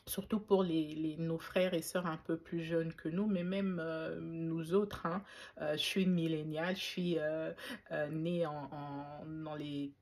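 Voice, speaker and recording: A woman's voice: deep voice, darkish tone. Reflective woman vlogger from Belgium. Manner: reflective, faith-inflected, many fillers and restarts. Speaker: YouTuber. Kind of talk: casual talk to camera.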